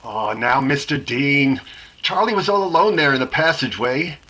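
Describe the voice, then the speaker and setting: A man playing a nervous, stammering radio sound engineer in a 1930s-style mystery drama, defensive and husky. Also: medium pitch, expressive, normal speed, pushed and bright. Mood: flustered.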